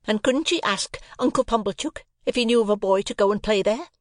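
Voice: medium pitch, a little fast, no echo, clean studio sound, pitched above the performer's own voice, close to an everyday voice. A reader voicing a snappish, sarcastic older sister who delivers long reproachful tirades in an audiobook. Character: snappish, scolding older sister, sharp-tongued. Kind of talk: audiobook character voice.

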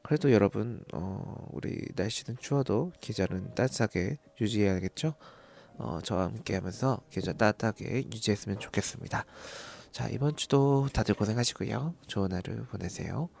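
A man talks on his own as the host of a stock tip broadcast. His voice sits fairly low and has a strong gravelly fry. He keeps things polite and plain-spoken, pausing hesitantly as he goes. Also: darkish tone. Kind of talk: informal solo stock recommendation talk.

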